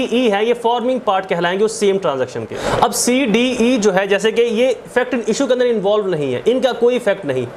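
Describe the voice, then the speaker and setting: A man's voice: high voice, a little mellow, slight background noise. A man with a Pakistani accent lecturing on law in a didactic, explanatory way, repeating points, asking rhetorical questions and mixing in English legal terms.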